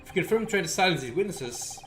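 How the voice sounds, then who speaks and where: A man's voice: sits high, expressive, fast talker, a bit bright. A man livestreaming a visual novel, reading its dialogue aloud in a theatrical way. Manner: theatrical, acting out characters while reading. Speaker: streamer.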